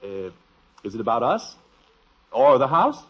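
A man's voice: mid-range voice, big pitch swings, measured pace, breathy edge. An actor playing a good-natured, curious father in a 1950s radio sitcom, genial and amused. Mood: playful. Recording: dull old radio broadcast.

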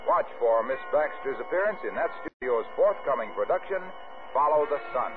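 Animated American man, vintage radio announcer. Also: medium pitch, normal speed, over music. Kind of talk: 1950s radio announcing, scripted advertising and credits. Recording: narrow-band vintage radio broadcast.

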